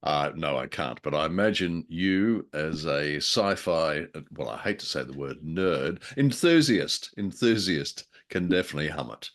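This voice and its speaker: Man, very deep voice, podcast co-host, casual review chat, wry humour, dramatic phrasing. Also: expressive, moderate pace, hardly any pauses. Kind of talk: casual review chat about old-time radio drama.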